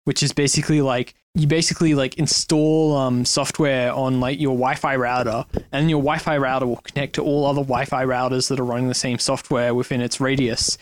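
A man speaking as a podcast guest, explaining ideas in quick bursts and leaning heavily on "like" and "um.". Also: mid-range voice, flat delivery, no echo. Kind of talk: casual conversational discussion.

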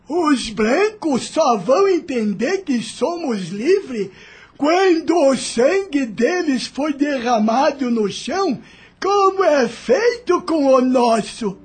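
A proud, defiant enslaved Brazilian man. His voice is very high and swings widely as he speaks in rustic, impassioned phrases. The delivery is extremely slow, with music playing underneath. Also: big pitch swings, full character voice. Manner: impassioned, rustic ungrammatical rural speech. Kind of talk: radio drama acting.

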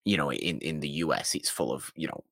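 A man co-hosting a film podcast, chatting casually and haltingly, repeating and rephrasing his points for emphasis. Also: extremely low pitch, animated, gravelly, fairly bright tone, no room sound, clean studio sound.

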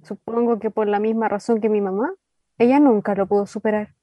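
A woman's voice: medium pitch, steady tone, fairly quick, warm tone, no echo, lightly acted. An actress with a Chilean accent playing a tender young woman haunted by family grief, earnest and emotive in radio drama dialogue. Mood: grieving.

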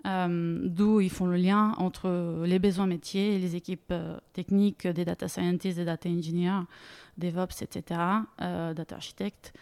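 A woman's voice: fairly low pitch, flat delivery, normal speed, a little mellow, clear, resonant tone. A woman, a guest in a casual interview, talking with many fillers and frequent laughter. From Bulgaria. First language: Bulgarian. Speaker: interview guest, data leader.

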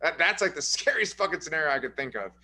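A man co-hosting a relaxed film review chat, his voice bright and on the high side. He swears freely and laughs through his stories, animated as he recounts the scariest moments. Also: fast. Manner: profane, laughing, animated storyteller. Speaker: podcast co-host. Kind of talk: casual movie review chat.

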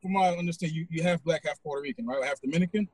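A man's voice: a little high, slightly rough. A man in his forties from Haiti, hosting a podcast and chatting casually with a guest, with many fillers. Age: 40s. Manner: fast, relaxed, conversational with fillers. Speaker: podcast host. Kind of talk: casual interview chat.

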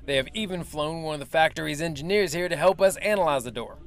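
A man's voice: on the high side, close to an everyday voice. A man playing a guarded military major. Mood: measured. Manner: formal, measured, evasive. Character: male military major briefing the press, guarded. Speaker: official spokesman. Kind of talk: audio drama acting.

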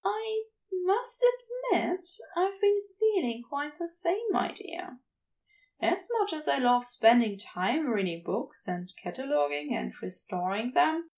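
Woman acting high-voiced male angel, prim, fussy, fond and teasing, formal diction, unhurried, audio story. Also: creaky, darkish tone, full character voice. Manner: prim, formal, old-fashioned wording. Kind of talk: fan fiction audio reading, acted dialogue.

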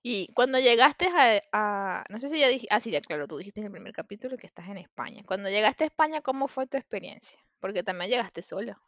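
A woman's voice: mid-range voice, animated, quick pace, hardly any pauses, a bit bright, dry recording, lo-fi sound. A woman co-hosting a podcast in casual chat, laughing often and chiming in with encouraging remarks. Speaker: podcast co-host.

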